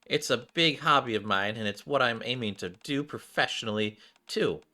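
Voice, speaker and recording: Shy schoolgirl fashion lover for a game scene, read by a man, bright, earnest, anxious. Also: medium pitch, normal speed. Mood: earnest, fond.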